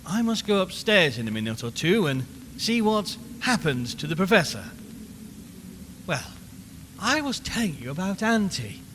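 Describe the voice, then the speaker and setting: An actor playing an unnerved radio reporter in an audio horror drama, rambling and repeating himself with forced cheer as he slowly loses his nerve. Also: high voice, sing-song, a bit bright. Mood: fraying, unhinged. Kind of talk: audio horror drama, live broadcast monologue. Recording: long hollow reverb, clear background noise.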